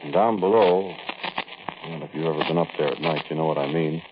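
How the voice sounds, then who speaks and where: An actor playing a world-weary bank robber telling his story in a halting, colloquial, conversational way, in 1940s radio drama style. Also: very deep voice. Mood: brooding, confiding. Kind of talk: 1940s radio drama first-person narration. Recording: muffled, noisy vintage radio recording.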